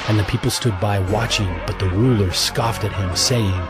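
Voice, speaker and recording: A man with a fairly low voice narrates scripture in a measured, solemn way. Music plays clearly underneath his scripted reading. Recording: prominent music bed.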